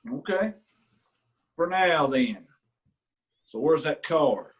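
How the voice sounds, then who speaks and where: A man playing a rural lawman in a staged reading, needling others with slow, threatening questions. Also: fairly high pitch, lively intonation. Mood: menacing. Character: menacing man who is the local law.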